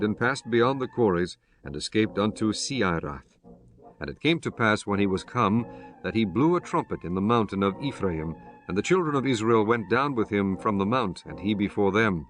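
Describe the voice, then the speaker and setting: A man narrating scripture from a script in a measured, solemn manner with archaic biblical wording. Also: fairly low pitch. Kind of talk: scripted scripture narration, King James Bible.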